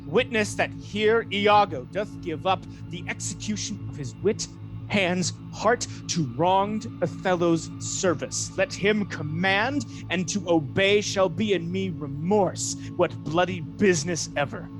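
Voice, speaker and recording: An actor playing a scheming villain who poses as a loyal soldier, speaking Shakespearean verse with deliberate, solemn devotion in a radio play. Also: male voice, high-pitched, over music, low-quality recording. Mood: solemn vow.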